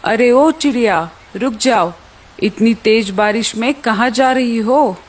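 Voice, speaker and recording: A woman's voice: on the high side, slow pace. Woman voicing a menacing, greedy male eagle. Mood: gloating. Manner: threatening, taunting. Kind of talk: Hindi children's cartoon story voice acting.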